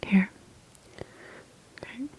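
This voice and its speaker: A woman whispers softly and gently in an ASMR medical roleplay, playing an attentive doctor. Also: drawn-out words, highs cut off.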